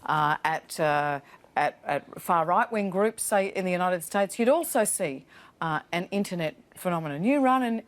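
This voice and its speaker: A woman's voice: on the low side, lively intonation, normal speed. A woman hosting a TV panel and asking probing, conversational questions. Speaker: TV panel host. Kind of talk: live TV panel discussion.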